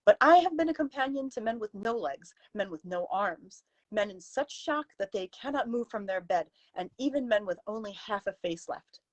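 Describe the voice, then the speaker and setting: A woman's voice: medium pitch, expressive, moderate pace, few pauses, dry recording, pitched above the performer's own voice, lightly acted, low-quality recording. A woman voicing a stern, outspoken Victorian lady's companion with a prim, emphatic, reproving manner in a story reading. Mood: indignant, scolding. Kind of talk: live dramatic story reading.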